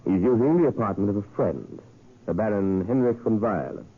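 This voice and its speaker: A man's voice: on the low side, expressive, moderate pace, breathy edge. An actor playing a businesslike male intelligence contact in a 1940s radio drama, matter-of-fact and clipped. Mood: businesslike, urgent. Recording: tinny, muffled vintage radio broadcast.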